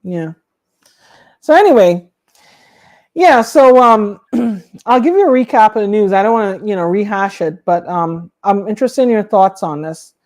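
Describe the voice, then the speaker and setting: A woman's voice: mid-range voice, lively intonation, normal speed, a little mellow. Woman co-hosting a casual livestream. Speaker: livestream co-host. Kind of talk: casual livestream chat about a hobby.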